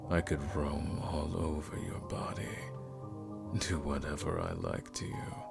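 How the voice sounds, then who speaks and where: Man playing a very deep, creaky vampire lord. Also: exaggerated voice. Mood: seductive. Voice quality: deep, creaky, breathy at times. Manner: slow, teasing, drawn-out, condescending. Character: suave predatory male vampire lord, teasing and seductive. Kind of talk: ASMR roleplay audio drama. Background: music.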